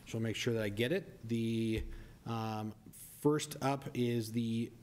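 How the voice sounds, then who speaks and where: A man chairing a city council meeting as mayor, relaxed and joking, with many fillers. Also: medium pitch, slow pace. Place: council chamber dais. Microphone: desk mic.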